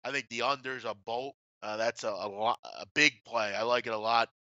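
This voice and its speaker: Bright-voiced man talking sports betting. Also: mid-range voice, fairly quick, clean tone, dry recording, lo-fi sound. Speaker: host of a sports betting show. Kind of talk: casual betting tips discussion.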